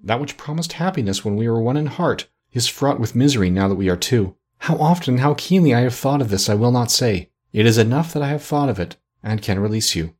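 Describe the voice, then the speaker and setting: A man reading an audiobook, voicing a gentle, sorrowful young woman who speaks with earnest, measured reproach. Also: medium pitch, animated, close to an everyday voice. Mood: sad, earnest.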